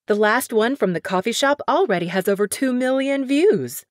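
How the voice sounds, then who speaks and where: A woman plays a pushy female campaign manager who speaks loudly and in a gushing way. Her tone is brash and dismissive. The recording is dry and close. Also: on the high side, expressive, moderate pace, no room sound, voice pushed up, natural voice.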